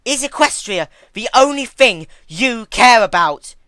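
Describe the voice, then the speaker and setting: An anguished young unicorn mare, acted out by a man, confronting someone in a dark storybook drama. The voice is vehement and forceful, lifted in pitch and swinging widely with emotion. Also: lively intonation, unhurried, no echo, heavily acted. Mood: screaming fury. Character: anguished, furious young female unicorn pony.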